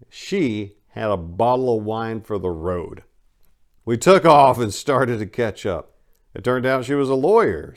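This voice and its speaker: Low-pitched man narrating a story. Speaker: narrator reading a book. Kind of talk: dramatic first-person audiobook narration.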